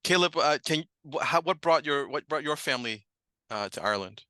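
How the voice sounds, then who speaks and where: American man, interview host, conversational, very bright crisp-edged tone, dry recording. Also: fairly high pitch, expressive, brisk, no echo. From United States.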